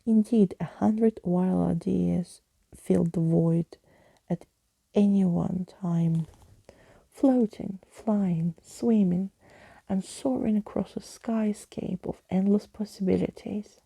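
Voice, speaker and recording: A woman reads a bedtime story in soft ASMR fashion, often dropping into a whisper. Her delivery is slow and lingering, with a very mellow, dark tone and heavy creak. The sound is close and intimate. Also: medium pitch, fairly even intonation, no room sound. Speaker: ASMR reader.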